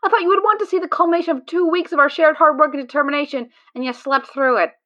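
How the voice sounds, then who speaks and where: A woman's voice: high-pitched, fast, sharp tone, lo-fi sound. A woman voicing a sarcastic, exasperated friend in an audio drama, prone to ranting.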